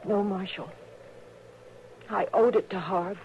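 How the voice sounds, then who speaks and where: An actress playing a grim, resolute frontier woman in a 1950s radio drama, speaking flatly. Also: mid-range voice, slow pace, slight background noise. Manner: flat and quietly determined. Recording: dull old radio recording.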